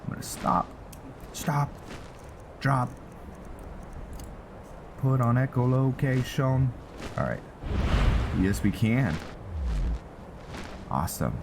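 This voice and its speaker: A man's voice: mid-range voice, very animated, slow pace, long pauses. A man giving casual commentary on a roleplay game, rambling and thinking aloud as he plays. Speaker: gaming channel host. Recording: clearly noisy background.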